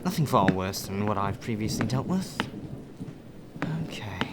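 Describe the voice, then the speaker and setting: Man playing a slow, softly formal butler. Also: fairly low pitch, animated, very noisy. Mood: obliging. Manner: formal, deferential, measured, sighing. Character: polite, formal, servile male butler, privately fussy. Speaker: voice actor in audio roleplay. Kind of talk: soft ASMR roleplay acting.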